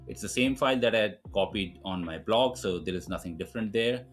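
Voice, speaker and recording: A man presenting a screen-recorded software tutorial, explaining things informally step by step. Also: fairly low pitch, fairly quick, soft background music.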